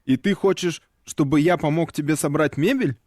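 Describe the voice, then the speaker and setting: A man's voice: a little high, moderate pace, darkish tone, no echo, clean studio sound, lightly acted. A man voicing a friendly, joking Swedish character in a scripted lesson dialogue.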